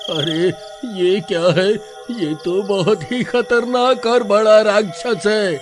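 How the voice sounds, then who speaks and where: A man's voice: sits high, steady tone, slow, over music. A man playing a timid, joking village husband with a whiny, exclamatory comic manner. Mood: panicked.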